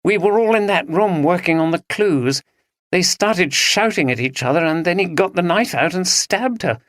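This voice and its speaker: A woman's voice: fairly low pitch, expressive, moderate pace, dry recording, studio quality, pitched above the performer's own voice, lightly acted. A man voicing a distressed young woman witness who speaks earnestly. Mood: upset.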